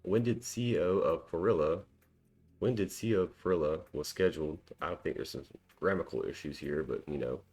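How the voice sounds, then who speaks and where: A man's voice: low voice, a little fast, a little mellow, husky. A man hosting a cybersecurity walkthrough, thinking aloud in a casual, self-deprecating way with frequent ums and small laughs. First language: English. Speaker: cybersecurity tutorial host.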